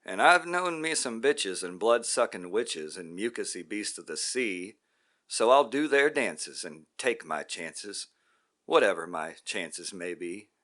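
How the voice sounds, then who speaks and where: A man voicing a desperate, burned-out male junkie in a poetry reading, pleading and shouting in a high, loud voice. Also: expressive, measured pace. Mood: scoffing. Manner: pleading, then raging and shouting.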